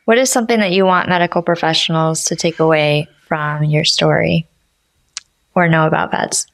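A woman's voice: deep voice, fairly even intonation, normal speed, gravelly, fairly bright tone, no room sound. A woman hosting a podcast, asking questions in a conversational interview style.

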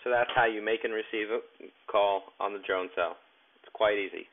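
A man narrating a step-by-step tech tutorial in a plain, instructional way with halting phrasing. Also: on the low side, steady tone, a little hiss or hum. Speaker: tutorial presenter. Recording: tinny, narrow phone-like sound.

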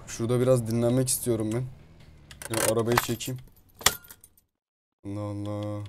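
A man giving excitable, slangy, foul-mouthed gameplay commentary as he chats in a roleplay game. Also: lowish voice, normal speed. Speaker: video host playing a roleplay game. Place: indoors at a computer, voice over gameplay. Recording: clear background noise, keyboard clicking.

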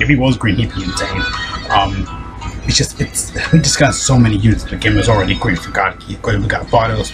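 Fast-talking deep-voiced man. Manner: rapid, rambling talk with many fillers and restarts. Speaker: gaming video host. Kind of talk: casual game news commentary.

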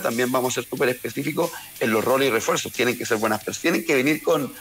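A Chilean man in his forties talks quickly and earnestly over a video call, using an earphone mic and often restarting mid-phrase. His voice sits mid-range, with faint music underneath. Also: medium pitch, a bit bright. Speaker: football coach guest. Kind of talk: remote interview answers. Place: bright room at home. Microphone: earphone headset on a video call.

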